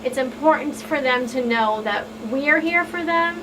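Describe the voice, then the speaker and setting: A woman's voice: high-pitched, moderate pace. A woman on a talk show panel explaining things earnestly, as someone who works in victim support. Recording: distant mic, clear background noise.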